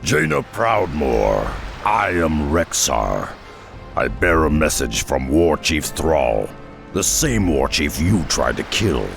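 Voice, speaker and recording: Gravelly, extremely deep half-ogre warrior. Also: male voice, sing-song, takes it slowly, soft background music, exaggerated voice. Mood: stern, grave. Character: gruff hulking half-ogre warrior, blunt and stoic. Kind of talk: video game cutscene acting.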